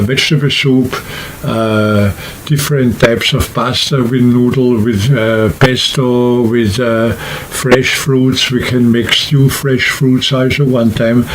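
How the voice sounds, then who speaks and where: A man speaks off the cuff in a casual vlog style, with a middling pitch. His explanations wander and halt as he works out what to say. There is faint noise behind his voice. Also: low-quality recording. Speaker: hiking vlogger and trip organizer. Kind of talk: casual unscripted talk to camera.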